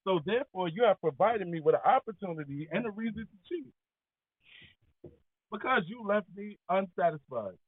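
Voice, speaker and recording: A man's voice: high-pitched, expressive, moderate pace, husky, dry recording. A man co-hosting a casual talk show, arguing his points in an insistent, slangy, conversational way. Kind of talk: casual debating banter. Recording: tinny, phone-like band-limited audio.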